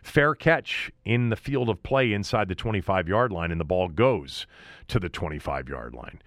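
A man with a fairly low voice delivers a casual sports monologue as a show host. He talks conversationally, breaking off for asides and repeating phrases as he goes. The sound is close and dry, with very little room. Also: lively intonation, normal speed, a bit bright, no echo.

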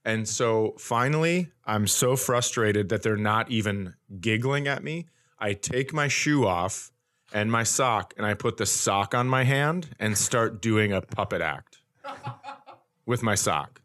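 A man's voice: lowish voice, lively intonation, a little slow, fairly bright tone. A man hosting a comedy podcast, telling stories casually in a wry, self-deprecating, conversational way.

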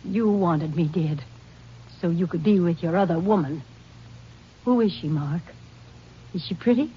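Actress as bitter wife, muffled old radio. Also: female voice, fairly low pitch, a little slow, natural voice. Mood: bitter taunting. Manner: cutting, sarcastic. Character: wronged, bitter wife coolly taunting her husband. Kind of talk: 1950s radio drama acting. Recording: dull, hissy old 1950s radio recording.

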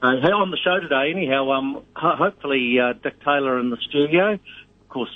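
A middle-aged man with a New Zealand accent, a radio host calling in as a guest, talking in a rambling, halting way with many fillers. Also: medium pitch, no echo. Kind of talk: casual radio chat. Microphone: phone line. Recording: tinny phone line.

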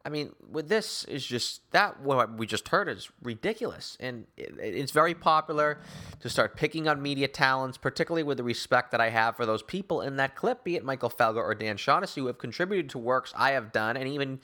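A man hosting a sports talk show, giving opinion in an emphatic, wordy, deliberate way. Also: medium pitch, lively intonation, sharp tone. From Newton, Massachusetts, United States. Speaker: sports podcast host.

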